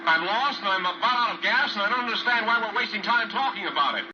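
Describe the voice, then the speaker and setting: An actor playing an anxious, inexperienced lost pilot in an old-time radio drama, hesitant and edgy. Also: male voice, sits high, animated, fast. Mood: impatient. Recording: noisy, muffled old radio recording.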